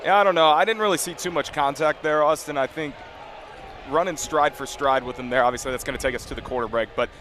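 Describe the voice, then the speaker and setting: Man, slightly high voice, football color commentator, conversational, emphatic, live radio broadcast. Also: fast, fairly bright tone, slight background noise. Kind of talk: conversational live sports analysis.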